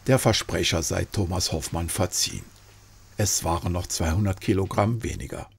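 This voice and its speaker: A man reading scripted documentary narration in a formal, earnest, measured manner. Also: low voice, creaky, husky. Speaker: narrator and filmmaker. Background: background music.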